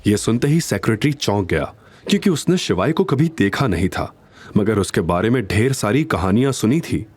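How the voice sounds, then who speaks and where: Animated male audio drama narrator. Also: lowish voice, normal speed, slightly rough.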